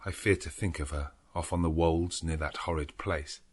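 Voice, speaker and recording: Guy doing tense young english husband, low husky urgent voice, audiobook diary reading. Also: fairly even intonation, normal speed. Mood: anxious, urgent. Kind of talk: audiobook character narration.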